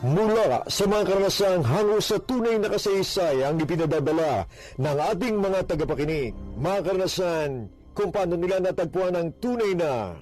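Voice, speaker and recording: A man's voice: high voice, moderate pace, a little mellow, soft background music. A man with a Filipino accent giving a solemn, declamatory scripted introduction as a radio program announcer.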